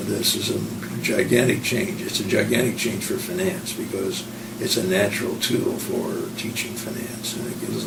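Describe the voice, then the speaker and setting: Older man, creaky voice, roomy distant recording. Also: on the low side. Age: 70s or older. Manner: halting, circling back mid-sentence. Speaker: university professor of finance. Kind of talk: reflective interview answers. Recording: roomy, distant mic, clear background noise.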